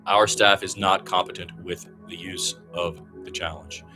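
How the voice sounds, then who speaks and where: Male radio announcer, low pitch, basketball play-by-play, blunt opinions, conversational, faint music in the background. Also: level tone, measured pace, pushed and bright.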